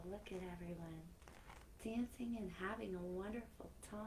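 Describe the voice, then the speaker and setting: Slow-paced woman reading at children's story time. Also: medium pitch. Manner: expressive, chatty asides between readings. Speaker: library story time host. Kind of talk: read-aloud picture book storytime for children. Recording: clearly noisy live-stream recording.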